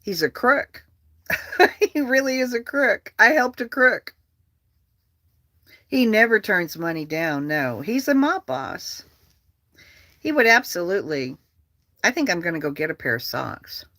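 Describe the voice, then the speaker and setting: A livestream host chatting casually and rambling, with an animated, profane manner and a habit of repeating phrases. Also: mid-range voice, measured pace, pauses often, no echo, clean studio sound.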